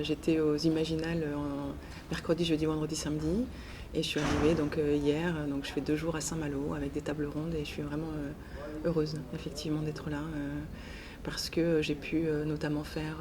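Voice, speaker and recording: A woman answering interview questions in a hesitant, halting way with frequent pauses. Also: low-pitched. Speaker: interviewed author and teacher. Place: book festival. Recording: noisy festival ambience. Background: clear ambient noise.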